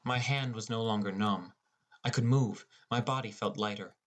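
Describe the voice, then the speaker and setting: A man's voice: lowish voice, moderate pace. A man reading a first-person fantasy story as a scripted audiobook narration, in the role of a teenage gamer. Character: teenage boy gamer, gloomy and introspective. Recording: music under the voice. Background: prominent music.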